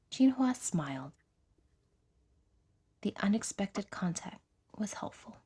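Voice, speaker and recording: A woman narrates scripted fiction for an audio drama. Her delivery is slow and her tone is mellow, with a husky grain to it. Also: mid-range voice.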